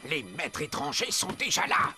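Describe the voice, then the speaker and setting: An actor playing a hapless, anxious spy muttering observations in a French cartoon dub. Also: male voice, a little high, huge pitch swings, normal speed, loud music behind the voice. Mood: dismayed.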